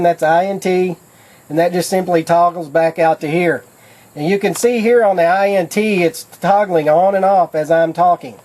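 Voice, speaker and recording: A man's voice: high-pitched, darkish tone, low-quality recording. A man giving a casual how-to demonstration in a folksy, plain-spoken way, like a product demonstrator explaining things step by step.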